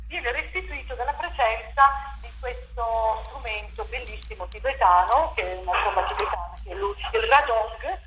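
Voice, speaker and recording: A woman with a fairly high voice, a playwright and director, talks animatedly about her work. She thinks aloud, often restarts and doubles back, and keeps the talk going. The room is echoey, with a faint hiss underneath. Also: fairly bright tone. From Italy. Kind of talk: interview about a stage play.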